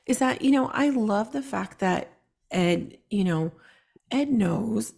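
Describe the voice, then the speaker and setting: Gravelly, slightly hoarse woman chatting. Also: a little high, lively intonation, slow, darkish tone. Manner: supportive, lots of agreeing murmurs. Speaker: podcast co-host. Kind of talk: casual chat.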